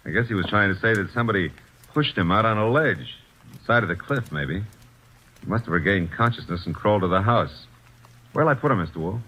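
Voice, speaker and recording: A man's voice: on the low side, lively intonation, pushed and bright, slightly rough, heavily acted, low-quality recording. An actor playing a brash, wisecracking male assistant detective in a vintage radio drama, breezy and slangy. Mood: brisk. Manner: breezy, slangy, quick with quips. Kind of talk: 1940s radio acting.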